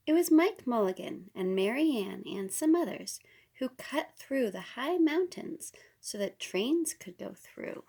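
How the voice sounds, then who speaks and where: A woman reading a children's picture book aloud as a storyteller, unhurried and with deliberate pauses. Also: sits high, lively intonation, gravelly, dark tone, husky. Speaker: storybook reader.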